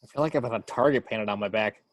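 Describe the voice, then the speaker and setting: A man's voice: on the low side, fast talker, close to an everyday voice. A man playing an eager, chatty superhero speedster in a script table read, with a nervous, informal way of talking. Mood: excited, anxious.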